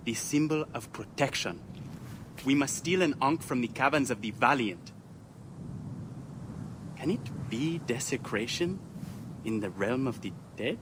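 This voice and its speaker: A man's voice: mid-range voice, lively intonation, unhurried, pauses often, lightly acted. Man playing an earnest young royal son, noisy backdrop. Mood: earnest, wistful. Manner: formal, poetic. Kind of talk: dramatic game acting.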